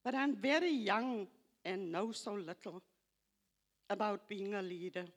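An older woman with an Afrikaans accent, English not her first language, slowly reading scripture aloud and reflecting on it at a church gathering, halting and repeating phrases. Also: mid-range voice. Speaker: church member giving a tribute.

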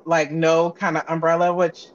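A woman's voice: sits low, little pitch movement, normal speed, no echo, muffled recording. A woman with an American accent chatting casually as an interview guest, talking informally in loose, run-on thoughts. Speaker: guest, audio drama creator and sound designer.

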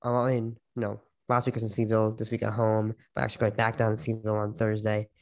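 A man's voice: on the low side, monotone, fast. A young man co-hosting a podcast over a video call, chatting casually, teasing his friend and laughing often. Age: young adult. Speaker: podcast co-host. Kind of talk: casual chat.

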